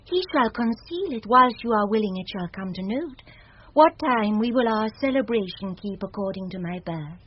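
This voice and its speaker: A woman's voice: medium pitch, expressive, moderate pace, breathy edge. Imperious lady in love, stately, earnest, muffled old radio recording. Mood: urgent, tender. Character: noble lady in love, urgent, imperious bride-to-be. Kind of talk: 1970s BBC radio Shakespeare acting.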